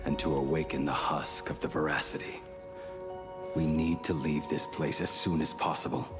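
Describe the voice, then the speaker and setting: A man's voice: a bass voice, little pitch movement, normal speed. An actor playing a grave, solemn male companion in a fantasy video game, speaking ominously. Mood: grave warning. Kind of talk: dramatic video game acting. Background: music.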